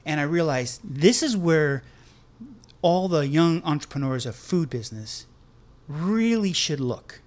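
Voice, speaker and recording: A man's voice: fairly high pitch, expressive, a little slow. A man co-hosting a podcast, a former chef, talking enthusiastically in a casual chat. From United States, Connecticut. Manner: enthusiastic, self-described people person.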